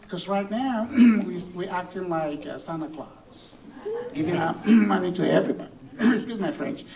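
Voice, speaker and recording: A man who is a congressional candidate, answering at a forum in a folksy way with rhetorical questions, clearing his throat now and then. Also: high voice, expressive, normal speed, darkish tone, lo-fi sound. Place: event hall. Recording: distant mic with faint room noise.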